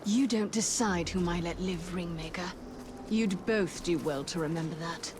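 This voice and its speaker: An actress playing a stern, commanding elf warrior in a video game, imperious and threatening. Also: female voice, on the low side, moderate pace, noisy background, lightly acted. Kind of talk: video game character acting. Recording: loud music behind the voice.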